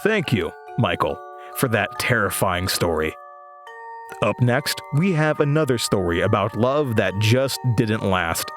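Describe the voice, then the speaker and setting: A man's voice: fairly low pitch, very animated, unhurried, music in the background. A man playing an anxious, haunted young host of a horror radio show in an audio drama. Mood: solemn.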